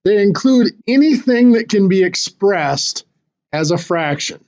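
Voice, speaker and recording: Guy teaching a math class, high voice, kinda hoarse, muffled lo-fi recording. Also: animated, a little slow, a little mellow. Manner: explains step by step with fillers and restarts. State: losing his voice, clearing throat.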